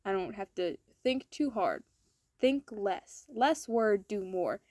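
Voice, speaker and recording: A woman art vlogger with a slightly raspy, hoarse voice, chatting casually in a voice-over and rambling with lots of "like" fillers. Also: mid-range voice, lively intonation, takes it slowly, darkish tone, dry recording, studio quality. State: throat hoarse from cold air.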